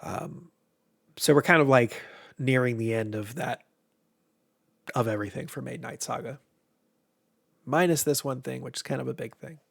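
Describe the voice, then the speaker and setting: A man's voice: medium pitch, normal speed, vocal fry, pauses often. A man from Ohio with an American accent hosts a casual livestream, rambling informally, sounding stuffed up with a tiring voice. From Midwestern US, Ohio. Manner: rambling, informal, mildly profane. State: congested with allergies, voice tiring. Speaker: host of a game publisher's livestream.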